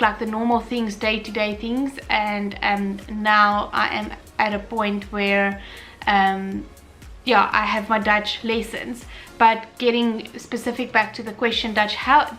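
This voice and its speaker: A woman's voice: fairly high pitch, a bit bright. A young woman from South Africa, an Afrikaans speaker with a South African accent, chatting casually in a Q&A vlog with many fillers and restarts. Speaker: vlogger. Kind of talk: casual Q&A chat.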